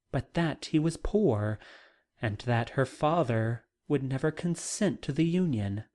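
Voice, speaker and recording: A narrator reading a classic novel aloud in a measured, formal way, as scripted audiobook narration. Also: mid-range voice, darkish tone.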